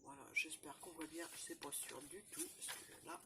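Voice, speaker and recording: A woman with a cold, chatting casually through a crafting demonstration, rambling and filling pauses often. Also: deep voice, very animated, background noise. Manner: chatty, rambling, constant fillers and "voilà". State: has a cold, stuffy. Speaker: crafting channel host. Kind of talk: casual show-and-tell crafting demo.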